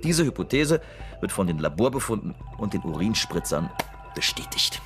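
Shrewd, cynical investigator: low register, matter-of-fact report delivery, radio drama with music underneath. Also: male voice, sing-song, moderate pace. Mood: methodical, official. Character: shrewd, cynical male investigator reciting a fabricated case report. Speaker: actor.

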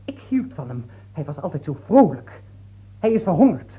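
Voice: medium pitch, very animated, moderate pace, natural voice. An actor playing a king's sullen son and heir in a radio drama, outwardly dutiful and bluntly scornful underneath. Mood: accusing. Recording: thin, phone-like old radio recording.

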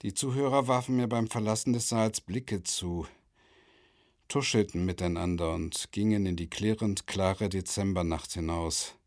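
A fussy, pedantic rambling lecturer. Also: male voice, deep voice, normal speed. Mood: wry storytelling. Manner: pedantic, long-winded, self-interrupting. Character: fussy, rambling male travelling lecturer recounting his ordeal. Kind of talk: German radio play monologue.

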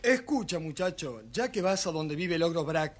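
High-voiced soldier. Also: male voice, animated, normal speed. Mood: imploring. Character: male soldier calling out a request. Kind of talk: audio storybook character acting.

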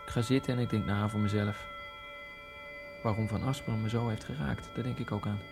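A man's voice: on the low side, fairly even intonation, brisk, creaky, over music. An actor playing a proud Egyptian immigrant man in a radio play, polite yet insistent and needling. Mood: brooding inner monologue. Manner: polite but insistent, goading, bursts of swearing. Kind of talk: radio drama acting.

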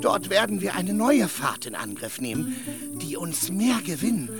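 A man's voice: sits high, very animated, unhurried, vocal fry, music in the background, exaggerated voice. An actor playing a loyal, simple squire in a radio play, anxious and effusive in his pleading.

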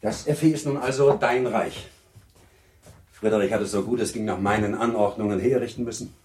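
An actor playing a courteous, gently patronizing baron and older husband in a German radio play, speaking in a measured, formal, reassuring way. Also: male voice, fairly low pitch, lightly acted. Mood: calm, reassuring.

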